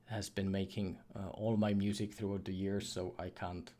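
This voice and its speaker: A man's voice: low-pitched, little pitch movement, moderate pace, dark tone. Czech guy with an accent just chatting on his livestream, informal, kinda stop and start, fixes his words as he goes. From Czech Republic. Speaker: livestream host, freelance 3D artist and course creator. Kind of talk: casual livestream chat answering viewer questions.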